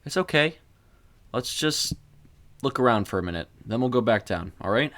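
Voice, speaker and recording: A man voicing a gentle, consoling brother in an audio story, in a plain, understated way. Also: medium pitch, animated, moderate pace, natural voice. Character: gentle, consoling brother, curious and low-key.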